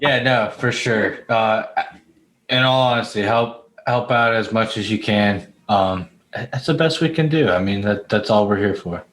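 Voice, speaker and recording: A man's voice: fairly low pitch, unhurried, fairly bright tone. A man co-hosting a casual podcast over a video call, joking and swearing freely. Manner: crude, swearing, jokey. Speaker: podcast co-host. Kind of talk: casual chat. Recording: clear background noise.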